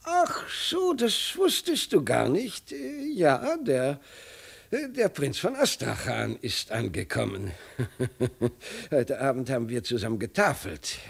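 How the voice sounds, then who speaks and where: A doddering old emperor in a vintage radio play, husky and creaky. His pitch swoops up and down as he loses his thread, stammers, repeats himself and tries to evade. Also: huge pitch swings, slow, full character voice. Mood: absent-minded, jovial. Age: older. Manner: absent-minded, stammering, repeating himself, chuckling. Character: doddering old Chinese emperor, flustered, evasive father. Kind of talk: 1950s German radio drama acting.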